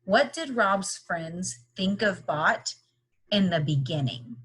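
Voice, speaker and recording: A woman's voice: mid-range voice, animated, slow, fairly bright tone, slightly rough. A woman leading an interactive read-aloud lesson for young children, explaining simply and checking in with repeated questions. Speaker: teacher reading a picture book aloud.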